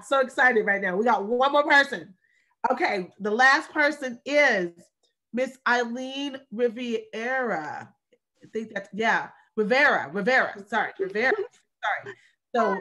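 A woman in her thirties hosting a video call, talking in an excited, effusive way and laughing often. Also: high voice, rough recording. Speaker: host of a walking challenge celebration. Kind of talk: casual video call chat. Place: small room indoors. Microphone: video call webcam.

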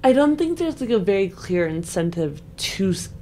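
A woman's voice: mid-range voice, sing-song, normal speed, a little mellow, slight background noise. A young woman in a casual, emphatic discussion of a game story, speaking informally with lots of "like". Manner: informal, emphatic, frequent filler "like". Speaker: podcast panelist and tabletop game player. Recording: music playing behind the voice. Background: background music.

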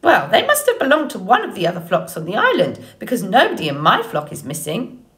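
A woman voicing an old female goose in an audiobook story, squawking, bossy and brisk in her manner. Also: mid-range voice, huge pitch swings, fairly bright tone. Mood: questioning. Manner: indignant, bossy, matter-of-fact.

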